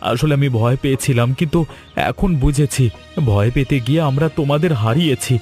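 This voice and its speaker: A man's voice: medium pitch, brisk, a little mellow, faint music behind, close to an everyday voice. A man voicing a remorseful, pleading young husband in a family cartoon drama, speaking earnestly.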